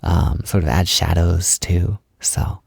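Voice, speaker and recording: A man playing a tender, encouraging partner in an intimate roleplay audio, speaking softly in a gentle, hesitant, reassuring way. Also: deep voice, steady tone, takes it slowly, dry recording, clean studio sound, full character voice. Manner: gentle, reassuring, hesitant, with breathy whispered moments. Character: tender, encouraging man comforting partner while doing makeup. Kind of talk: intimate roleplay audio, soft ASMR talk.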